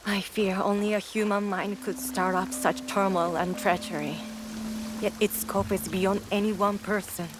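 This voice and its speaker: An actress playing a devout shrine priest who speaks formally and cryptically in a video game cutscene. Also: female voice, mid-range voice, steady tone, normal speed, noisy background, natural voice. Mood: grave. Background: music.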